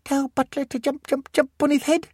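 A man's voice: extremely high pitch, level tone, moderate pace, darkish tone, no room sound, studio quality, pitched above the performer's own voice. A man voicing a stubborn toddler boy who talks about himself in broken baby talk. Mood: babbling toddler. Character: toddler boy, sticky-haired, stubborn, off-topic.

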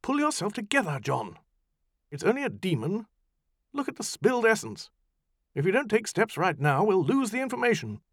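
A man voicing an impatient, imperious woman magician who speaks in brisk, commanding tones. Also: sits high, lively intonation, no room sound, clean studio sound, pitched above the performer's own voice.

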